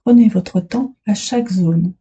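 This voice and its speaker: A woman guiding a relaxation meditation as a yoga instructor, soothing and unhurried, speaking in short phrases with long pauses. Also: medium pitch, warm tone, husky.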